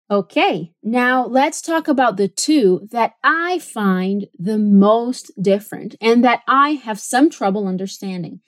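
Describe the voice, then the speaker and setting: A Brazilian woman hosting a podcast lesson, explaining clearly and switching between languages. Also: fairly high pitch, no room sound. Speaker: podcast host and English teacher.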